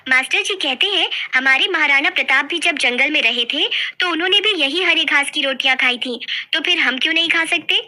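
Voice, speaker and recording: A woman's voice: high-pitched, fairly quick, hardly any pauses, pushed and bright, pitched above the performer's own voice. A woman voicing a sweet, brave, comforting little village girl in a folk story. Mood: earnest, consoling. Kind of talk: dramatized Hindi folk story acting.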